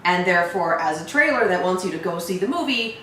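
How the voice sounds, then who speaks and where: A woman's voice: on the low side, animated, a little fast, bright, forceful tone. A woman co-hosting a reaction show, giving blunt, wry opinions in casual film review chat. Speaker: co-host of a horror trailer reaction show. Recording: heavy room echo, distant mic, faint noise.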